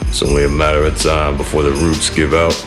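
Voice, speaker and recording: A bitter, worn-out in an audio drama. His voice is extremely deep and flat, drawling slowly and with resignation over prominent music. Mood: weary resignation. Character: weary, bitter Texan man living on dead, sandy land.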